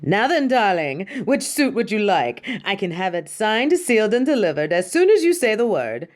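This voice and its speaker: A woman's voice: medium pitch, big pitch swings, normal speed, lightly acted. A woman playing a proud, exacting yet fond superhero costume designer in a scripted roleplay, speaking in a grandiose, dramatic way. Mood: triumphant, teasing. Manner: grandiose, dramatic, addresses listener as darling. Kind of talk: scripted roleplay audio monologue.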